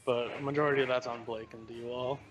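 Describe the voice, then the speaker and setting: A man's voice: mid-range voice, steady tone, fairly quick, soft background music, rough recording. A man who owns an esports team, giving brief, hesitant answers as a podcast guest. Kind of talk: casual podcast chat.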